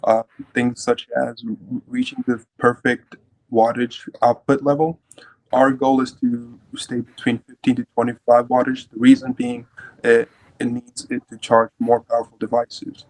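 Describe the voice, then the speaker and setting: A man's voice: mid-range voice, level tone, measured pace, no room sound, rough recording. A young man from Fiji, a student explaining his team's invention in an interview, earnest and explanatory. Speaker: student team member. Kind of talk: interview answers.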